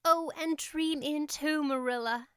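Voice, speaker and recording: Lady doing a gushy dreamy little girl for an audiobook, really high, talks breathlessly excited. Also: a little slow, no room sound, studio quality. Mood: dreamy, eager. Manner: gushing, breathless enthusiasm. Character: dreamy, exuberant, talkative young girl. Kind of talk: audiobook character voice.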